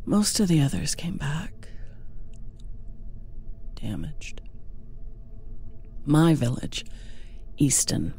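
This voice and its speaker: Frightened captive village girl, performed by a female narrator, low and breathy, slow hushed horror storytelling. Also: very animated, faint music behind, heavily acted. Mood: fearful, hushed. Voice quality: breathy, often sinking to a whisper. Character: frightened young village wife held captive, narrating her ordeal. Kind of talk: dramatic audiobook horror narration.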